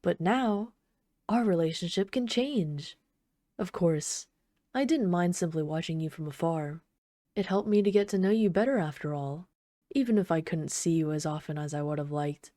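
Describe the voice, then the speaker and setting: A woman playing an obsessive, sweetly menacing supervillain in an ASMR roleplay, soft-spoken, doting, possessive and taunting. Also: lowish voice, moderate pace, no echo, studio quality, natural voice. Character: obsessive yandere female supervillain, sweetly menacing, adoring her captive.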